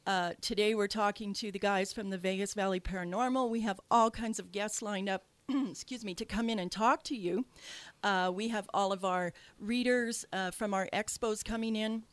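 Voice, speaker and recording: A woman's voice: mid-range voice, normal speed, no echo. A woman with a Canadian accent hosting a radio talk show as a psychic medium, talking casually with lots of fillers and restarts into a studio mic. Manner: chatty, many fillers and restarts.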